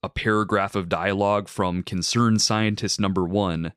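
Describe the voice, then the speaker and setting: A man hosting a film podcast, reviewing a movie and introducing a guest in a measured, articulate way with some "like" fillers. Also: lowish voice, fairly even intonation, fairly bright tone, clear, resonant tone, dry recording, studio quality. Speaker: podcast host.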